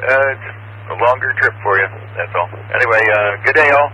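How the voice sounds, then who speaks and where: A man's voice: medium pitch, brisk, sharp tone. A man checking in on amateur radio with casual, folksy, rambling chat, heard through a two-way radio. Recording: faintly noisy amateur radio transmission.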